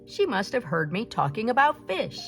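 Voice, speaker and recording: A woman's voice: a little high, sing-song, normal speed, gravelly, fairly bright tone, soft background music, pitched above the performer's own voice, exaggerated voice. A woman voicing a rich, generous old male merchant in a storybook, hearty and full of wonder. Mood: marvelling.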